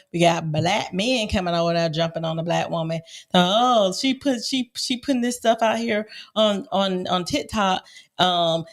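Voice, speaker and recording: An American woman co-hosting a casual panel podcast, telling things emphatically and repeating herself for effect. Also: medium pitch. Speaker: podcast co-host.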